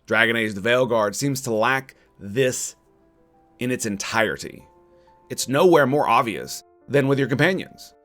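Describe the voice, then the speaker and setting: A man's voice: medium pitch, lively intonation, measured pace, a bit bright. A man narrating a scripted video game critique in an opinionated, sardonic manner. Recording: music under the voice. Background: background music.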